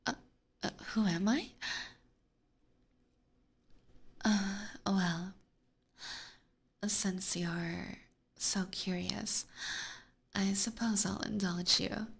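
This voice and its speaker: A woman's voice: medium pitch, gravelly, breathy edge, exaggerated voice. A woman playing a haughty, teasing, predatory vampire in an ASMR roleplay, whispering breathily with formal, condescending diction close to a binaural microphone. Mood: seductive, indulgent.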